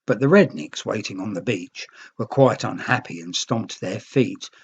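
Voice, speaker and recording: A storyteller reading a rhyming children's book aloud in an expressive, theatrical way. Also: medium pitch, moderate pace, breathy edge, lo-fi sound. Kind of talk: scripted storybook narration in rhyme.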